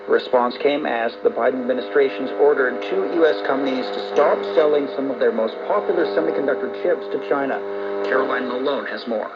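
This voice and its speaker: A man's voice: mid-range voice, a little fast. A man reading scripted news as an anchor, heard on a very noisy, dull shortwave radio broadcast.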